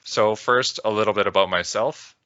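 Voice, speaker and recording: A man from Canada with a Canadian accent, giving a measured technical presentation on a video call with occasional "uh"s. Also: lowish voice, sharp tone, no room sound, highs cut off. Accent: Canadian English. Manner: measured, deliberate, with filler "uh"s. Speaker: conference speaker.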